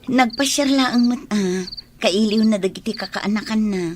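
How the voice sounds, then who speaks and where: A woman acting a long-suffering, gentle wife and older sister in a radio drama. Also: fairly high pitch, normal speed, music in the background, close to an everyday voice. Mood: weary, subdued.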